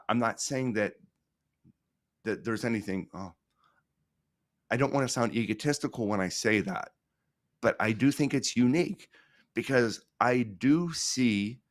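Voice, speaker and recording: A middle-aged American man speaking as a podcast guest, telling stories conversationally in an earnest, emphatic way, repeating phrases and pausing for effect. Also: mid-range voice, a bit bright, no echo, clean studio sound. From Pittsburgh, United States. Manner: earnest, emphatic, with repetition and dramatic pauses.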